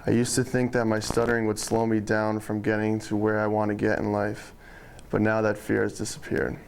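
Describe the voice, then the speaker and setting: A young man giving a prepared speech to friends and family, speaking slowly and deliberately in a controlled way. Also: fairly low pitch, completely flat delivery, creaky, slight background noise, rough recording. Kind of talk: prepared speech read to an audience. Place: room with a small audience of friends and family.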